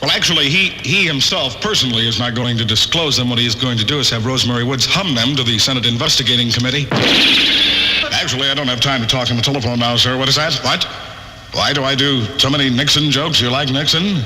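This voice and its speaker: A man's voice: mid-range voice, fast, very noisy. A man working as a morning radio disc jockey, delivering zany, showy comic Top 40 patter full of wisecracks. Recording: 1970s radio aircheck, loud music behind. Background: loud music.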